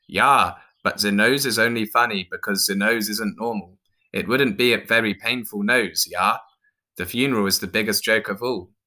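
A big bearded Viking acted out by a male storyteller, pompous and scornful. He booms lofty declarations with a sharp, very forceful edge, lifted above the reader's usual pitch. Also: normal speed.

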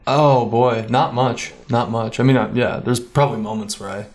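A man's voice: medium pitch, fairly even intonation, moderate pace, heavy background noise. A young man talking casually as a podcast guest, rambling and swearing easily. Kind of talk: casual chat.

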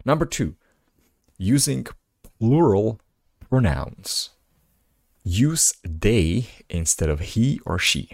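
A man's voice: on the low side, sing-song, takes it slowly, gravelly, pauses often, a little mellow, no room sound, clean studio sound. A man whose first language is Slovak, hosting a solo podcast where he reads articles aloud and adds casual, musing asides. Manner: casual, musing asides between read passages. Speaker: podcast host. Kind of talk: reading articles aloud with commentary.

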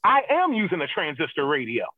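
High, lively man on a lo-fi line. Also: brisk, over a noisy crowd. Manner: laughing, animated storytelling. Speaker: regular panelist. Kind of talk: casual banter. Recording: thin lo-fi line.

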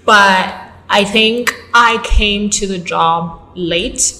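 A young Ugandan woman with an accent, a model and actress telling stories in a casual interview, animated, with fillers and quick restarts. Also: mid-range voice. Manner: animated storytelling, fillers and self-corrections.

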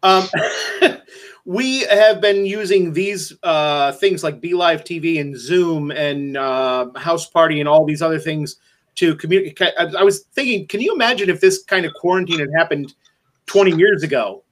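A man's voice: fairly high pitch, moderate pace. A man in his forties hosting a casual chat, hesitant with lots of fillers and self-deprecating. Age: 40s. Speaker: podcast host.

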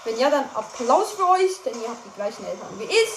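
A woman's voice: fairly high pitch, sing-song, brisk. A young woman giving casual, playful commentary while she plays a game, often tripping over her words. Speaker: gameplay commentator. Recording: echoey room with noise.

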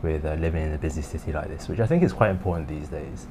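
A man who grew up in the United Kingdom, a podcast guest talking in a measured, thoughtful way with frequent fillers. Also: a bass voice. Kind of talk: casual chat. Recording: noticeable background noise.

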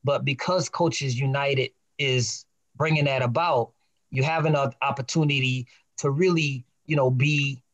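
A male podcast host turns from guest to guest, full of "you know" and "right?" as he prompts them. His voice sits at a middle pitch and is recorded close and dry. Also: fairly even intonation, a little slow, no echo. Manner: encouraging, peppered with fillers. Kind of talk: casual podcast chat.

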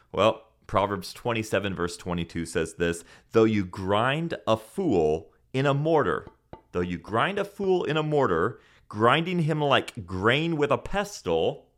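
A man's voice: lowish voice, fairly bright tone. A man who grew up in Senegal, teaching a Bible podcast in an earnest preaching manner with rhetorical questions.

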